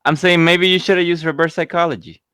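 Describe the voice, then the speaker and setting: A man's voice: a little high, fairly even intonation, fast, bright, forceful tone, clean tone. A man bantering casually as a player in a tabletop role-playing game.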